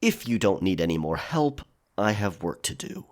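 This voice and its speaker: A man's voice: deep voice, sing-song, normal speed. A man playing a roguish, guarded pirate in an audio drama, with a sly, curt way of talking. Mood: cold, grumbling. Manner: sly, teasing, curt when pressed. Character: Grayson, roguish guarded male pirate from London's gutters.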